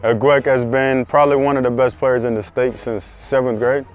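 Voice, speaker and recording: Young man from South Sudan, program founder, earnest interview delivery, medium pitch, light music under. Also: level tone, normal speed. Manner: earnest, repeats words for emphasis. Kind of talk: documentary interview.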